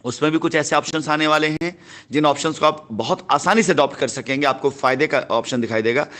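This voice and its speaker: A man's voice: on the high side, brisk, fairly bright tone. A man talks in a persuasive phone conversation. He sounds like a company boss justifying himself, pressing each point and often repeating phrases. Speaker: company head answering a customer.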